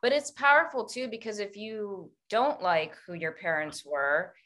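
A woman with a mid-range voice hosts an interview. She talks in a relaxed, reflective way with plenty of fillers. She encourages her guest as they talk. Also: mid-range voice, unhurried. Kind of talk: casual conversation.

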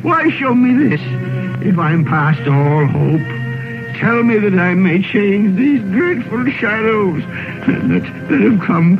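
An older actor playing an excitable old miser in a vintage radio drama, theatrical and stammering. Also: male voice, sits high, slow, full character voice. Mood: desperate pleading. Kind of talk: 1930s-40s radio drama acting. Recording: old radio broadcast, narrow band, music.

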